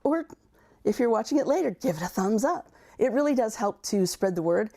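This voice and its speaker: A middle-aged woman coaching job seekers on a livestream, her voice raspy and gravelly after an illness. Her tone is dark and mellow, and she talks conversationally, often restarting and repeating words as she gathers her thoughts. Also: a little high, lively intonation, a little fast. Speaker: career coach. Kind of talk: livestream advice talk.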